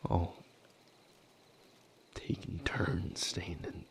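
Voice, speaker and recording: A man playing an anguished lover in an intimate romantic audio roleplay, speaking in a breathy, gravelly near-whisper with halting, fraught pauses. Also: a little hiss or hum. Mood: anguished, weary. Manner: halting, hushed, fraught delivery with long pauses. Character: anguished male lover comforting and seeking comfort, vampire romance.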